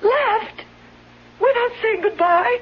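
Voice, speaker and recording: A woman's voice: extremely high pitch, takes it slowly. A woman acting a fearful, clinging invalid wife in a 1970s radio drama, pleading plaintively and emotionally. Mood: tearful pleading. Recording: noisy dull vintage radio broadcast.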